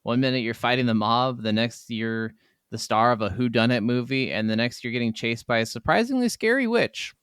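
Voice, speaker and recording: Young adult male, very clear smooth voice, medium pitch, dry recording, casual game chat. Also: animated, fairly quick, a bit bright, no room sound. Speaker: podcast co-host. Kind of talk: casual gaming podcast chat.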